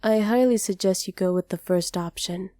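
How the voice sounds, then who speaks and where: A woman voicing a haughty, possessive dragoness captor in an ASMR roleplay, speaking to the listener in a condescending, deliberate way. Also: mid-range voice, dark tone, no echo, natural voice. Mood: stern, condescending.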